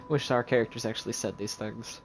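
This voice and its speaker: Casual male streamer: mid-pitch voice, chatty and rambling, over game music. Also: vocal fry. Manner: chatty, laughs along, rambles. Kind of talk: casual live gameplay commentary. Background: game soundtrack.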